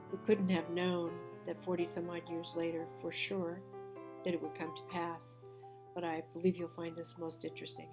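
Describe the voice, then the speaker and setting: Woman with a cold, hesitant vlog intro. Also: lowish voice. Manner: hesitant, many fillers. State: losing her voice from a cold. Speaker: video blogger. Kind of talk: casual video intro.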